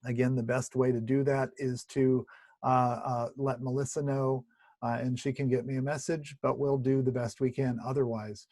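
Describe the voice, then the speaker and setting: A man with a slightly scratchy, tired voice talks through a relaxed Bible study from his home, full of asides, fillers and restarts. The sound is dry and close. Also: medium pitch, moderate pace, dry recording. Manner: chatty, warm asides with many fillers and restarts. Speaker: pastor. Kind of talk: casual Bible study talk. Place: at home, indoors. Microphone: video recording at home.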